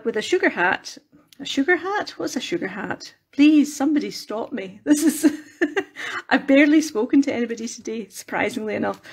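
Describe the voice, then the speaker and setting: A Scottish woman hosting a casual crafting live stream on her phone, rambling and self-deprecating, her voice a little croaky from singing the night before. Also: mid-range voice. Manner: chatty, rambling, self-deprecating, many restarts.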